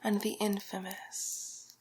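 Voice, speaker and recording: A woman playing a haughty, vain, glamorous villainess in an ASMR roleplay, whispering breathily and condescendingly with drawn-out endearments. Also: medium pitch, little pitch movement, a little mellow, slightly rough, soft background music, poor audio. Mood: luxuriating, persuasive.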